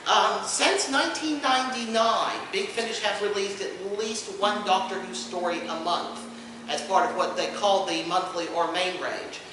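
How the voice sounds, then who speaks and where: A man's voice: sits high, normal speed. A man hosting an informal convention panel, explaining things with dry humor. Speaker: panel host and podcast co-host. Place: indoor convention panel room. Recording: echoey room, distant mic, background noise.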